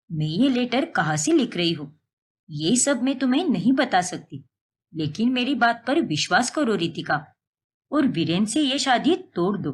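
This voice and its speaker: A woman's voice: medium pitch, husky, voice pushed down. A woman voicing a mysterious ex-girlfriend who speaks in grave warnings, in a cartoon melodrama. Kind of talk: Hindi cartoon family melodrama acting.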